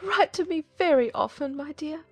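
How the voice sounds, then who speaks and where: A woman voicing a fretful, excitable middle-aged mother in a dramatized audiobook, gushing and plaintive. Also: high voice, normal speed, no room sound, faint music behind, voice pushed up. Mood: tearful, clinging. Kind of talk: dramatized audiobook character acting.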